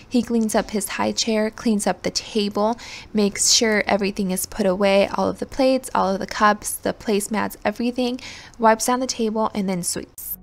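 A woman's voice: mid-range voice, steady tone, moderate pace, vocal fry. A young adult woman narrating her home vlog in an easygoing, chatty voice-over. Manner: easygoing, chatty, repeats words for emphasis. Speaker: vlogger.

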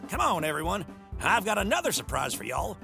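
A man's voice: high-pitched, wildly swooping pitch, fairly quick, creaky, over music, exaggerated voice. A man voicing a folksy, boastful cowboy restaurant owner with a Southern US accent, announcing like a hammy showman in a comic dub. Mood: showy, welcoming.

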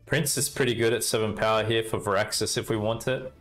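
A young Australian man casually commenting on his card game and chatting with viewers, relaxed and talkative. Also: lowish voice, fairly quick, faint music behind. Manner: relaxed, chatty, talks to chat while playing. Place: indoor room at a desk.

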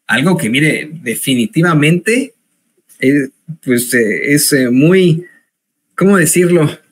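A man's voice: fairly high pitch, fairly bright tone. Casting: man in his 40s, news commentator, hesitant delivery full of fillers, home office webcam. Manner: hesitant, many fillers and restarts.

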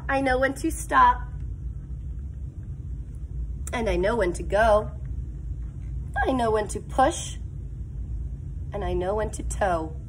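A woman's voice: medium pitch, a little hiss or hum, poor audio. A middle-aged woman, a kindergarten teacher, reading a picture book aloud expressively with asides to the children, stretching her words. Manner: animated, deliberate delivery, stretching words for young children. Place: indoors, school classroom.

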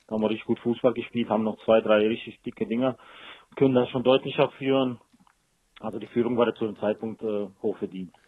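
A man's voice: lowish voice, steady tone, fast talker, husky, no echo. A man speaking as a football coach in a post-match interview over a telephone line. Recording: muffled, dry telephone line.

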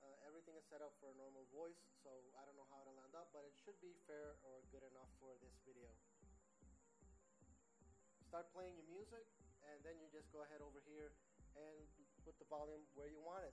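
A man in his forties with a slightly high voice gives a casual product demonstration. He explains things step by step in a plain-spoken way, like a hands-on reviewer. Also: level tone, brisk, over music, poor audio. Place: small indoor room, seated at a table. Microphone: standalone audio recorder on the table.

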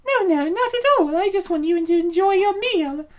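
A woman's voice: very high voice, animated, moderate pace. A woman voicing an anxious, eager young woman in a comic dub, bubbly and easily flustered. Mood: eager, coy.

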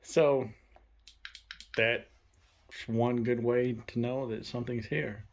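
A young man filming a ghost-hunting vlog in a dark abandoned farmhouse, talking to spirits and loudly challenging them. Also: medium pitch, steady tone, takes it slowly, gravelly, dull audio. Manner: loud provoking challenges to the spirits. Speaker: paranormal investigator.

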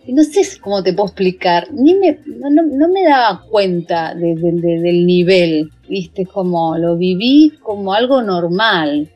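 An Argentine woman in her forties talks casually over a video call from a room lined with bookshelves. She speaks in lively bursts, stumbling and restarting her phrases, and faint music plays underneath. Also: on the low side, a little slow, few pauses, muffled recording. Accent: Argentine Spanish. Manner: animated, many restarts and fillers. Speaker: guest, musical theatre actress.

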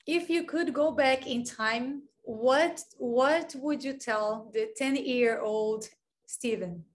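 Young woman interviewer, slow, higher voice, gentle and encouraging, webcam sound from her living room. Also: slight background noise. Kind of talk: casual interview talk.

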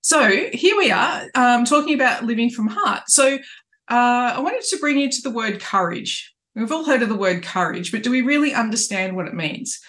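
A woman's voice: a little high, expressive, moderate pace, a bit bright. A middle-aged woman, a life coach earnestly talking to camera about living from the heart, often restarting her phrases, recorded on a webcam in a small room at home. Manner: earnest, spiritual, with many restarts. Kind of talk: reflective coaching talk to camera.

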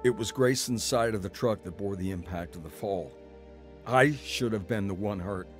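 Actor as cynical, stubborn American older brother. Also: male voice, lowish voice, moderate pace, faint music behind, close to an everyday voice. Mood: regretful narration. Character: proud, cynical, stubborn older brother, self-made man. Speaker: actor narrating and playing the lead. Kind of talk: dramatized first-person audio drama.

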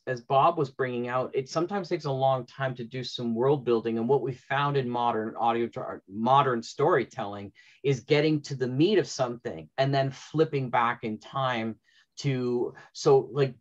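Male, middle-aged, medium pitch, panel moderator, slightly muffled video-call recording. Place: small room at home, indoors. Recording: dull video-call audio.

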